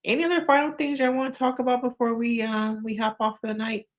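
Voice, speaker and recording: A woman's voice: fairly high pitch, little pitch movement, fast talker. A woman hosting a casual live stream, chatting frankly with viewers, laughing often, and losing her voice.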